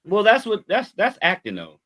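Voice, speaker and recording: A man's voice: high voice, huge pitch swings, normal speed, a bit bright. A man in his forties chatting casually on a podcast, opinionated and given to repeating his point. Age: 40s.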